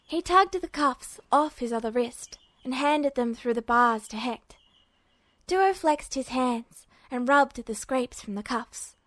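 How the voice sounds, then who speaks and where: Female audiobook-style narrator, slightly high register, scripted fiction read, dry close sound. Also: a bit bright, no room sound. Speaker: narrator, fan fiction reader.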